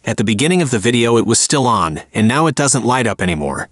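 Man calmly narrating a car repair. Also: medium pitch, fast. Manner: calm, step-by-step explaining. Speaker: car repair video host. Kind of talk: scripted how-to voice-over.

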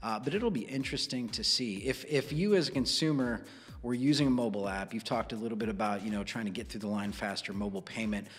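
A man's voice: lowish voice, fast talker, slightly rough, long reverb tail. An American man in his thirties presenting and discussing as a podcast host in a conversational way. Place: small dark indoor studio. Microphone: close broadcast studio microphone on boom arm.

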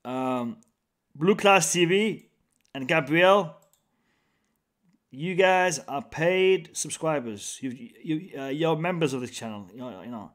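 An upbeat man chats on a live stream, his voice a touch higher than most men's. He sits close to a big studio mic in a small room at home. He keeps shouting out viewers by name and repeating himself. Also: animated, slow pace. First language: Arabic. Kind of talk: casual live-stream chat. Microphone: large studio mic on a boom, headphones.

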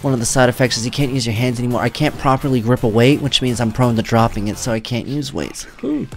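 A man's voice: mid-range voice, fairly even intonation, fast. A young man in his twenties talks loosely into a desk mic in a small room at home, rambling and swearing as he goes. His voice sounds a bit worn, and there is faint music behind him. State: voice weakened from treatment. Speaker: streamer. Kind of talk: casual vlog talk to camera. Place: home streaming room, indoors.

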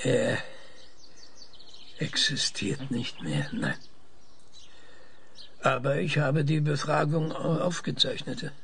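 A man playing a methodical, probing researcher in a science fiction audio drama, questioning in a measured, clinical way. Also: on the high side, vocal fry, fairly bright tone, slight background noise, full character voice. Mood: earnest, explaining.

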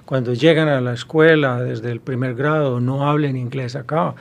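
A man in his fifties with a Colombian Spanish accent, a university professor answering interview questions, pausing often with hesitations and restarts, recorded on a studio mic. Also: medium pitch, fairly even intonation, creaky. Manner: thoughtful, many hesitations and restarts. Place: small studio with blue backdrop. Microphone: studio mic on boom arm.

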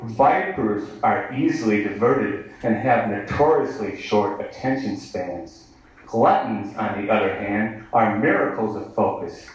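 American male poet, 30s, slow sardonic declamation, heavily reverberant live venue recording. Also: lowish voice. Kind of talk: live poetry reading. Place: indoor bar venue, live reading. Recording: echoey live bar recording, distant mic.

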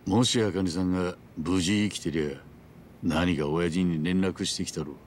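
A man playing a grave, world-weary middle-aged yakuza in a video game drama, speaking in measured, rough gangster phrasing. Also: low-pitched, steady tone, a little hiss or hum, lightly acted. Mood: grave, matter-of-fact. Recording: music behind the voice.

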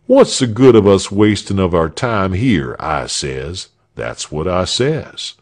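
A man voicing a gruff, uneducated soldier in armor who grumbles in rough dialect. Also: low-pitched, sing-song, slow pace, heavily acted. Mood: grumbling. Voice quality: rough dialect, dropped g's. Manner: grumbling, boastful.

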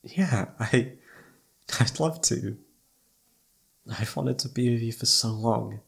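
A man playing a self-doubting, lovestruck best friend in an intimate ASMR roleplay, speaking softly and haltingly with tender hesitation. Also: medium pitch, vocal fry, slightly rough, full character voice. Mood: tender, shy. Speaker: amateur voice actor.

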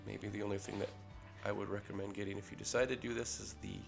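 A man with a head cold, casually talking through a workshop ring build in a relaxed way with fillers. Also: on the low side, quick pace. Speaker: maker showing a ring build. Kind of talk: casual workshop tutorial voice-over. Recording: voice-over with clear music behind.

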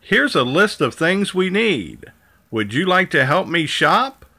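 Man voicing helpful male dinosaur, storybook, cheerful, sing-song, animated pitch. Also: slow pace, full character voice. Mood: cheerful, encouraging. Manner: sing-song, encouraging, rhyming.

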